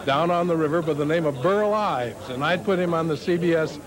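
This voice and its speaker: An elderly man in his seventies recalls his announcing days in an interview. His voice is fairly high, with a clearly audible restaurant crowd around him. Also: fairly quick. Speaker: veteran radio announcer interviewee. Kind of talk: reminiscing interview talk. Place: busy restaurant. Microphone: handheld interview microphone. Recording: clear crowd noise behind.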